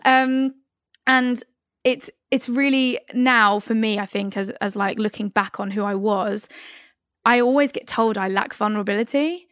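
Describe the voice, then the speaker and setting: Thoughtful woman, actor, slightly high voice, dry sound, reflective chat. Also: a little slow, fairly bright tone, smooth, no room sound. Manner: thoughtful, lots of fillers. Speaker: podcast guest, actor. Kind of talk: reflective conversation.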